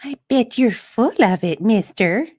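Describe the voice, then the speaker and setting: A woman playing a feisty, playful, flirtatious character in a romance drama, with breathy, bantering delivery. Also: on the high side, animated, a little slow, a little mellow, dry recording, clean studio sound. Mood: flirty retort.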